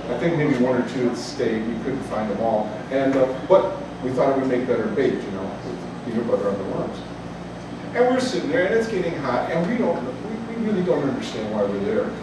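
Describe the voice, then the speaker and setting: A man's voice: medium pitch, big pitch swings, a little fast, background noise. A man in his sixties from Chicago's south side, telling a story live with folksy, humorous asides. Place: cafe. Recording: echoey cafe, distant mic, chatter. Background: room ambience and chatter.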